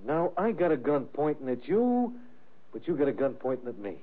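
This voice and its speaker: An actor playing a menacing, mock-jovial gangster with streetwise slang and sneering delivery in a 1950s radio drama. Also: male voice, on the high side, sing-song, normal speed. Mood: irritated, threatening. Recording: muffled vintage radio broadcast.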